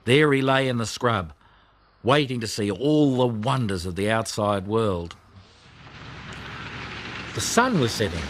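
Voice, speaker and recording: Animated male children's story narrator. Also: medium pitch, a little hiss or hum. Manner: expressive storytelling. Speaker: audiobook narrator.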